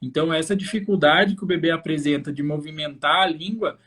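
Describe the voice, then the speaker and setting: A Brazilian man with a slightly high voice hosts a live class for parents. He explains things fluently, step by step, and addresses his viewers directly. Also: fairly quick, fairly bright tone, slightly rough. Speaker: host, infant osteopath. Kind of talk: live educational talk to parents.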